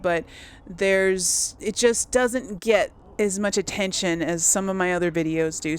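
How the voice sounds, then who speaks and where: A woman's voice: mid-range voice, clear, resonant tone. A woman hosting a gaming video with casual let's play commentary, chatting and musing aloud.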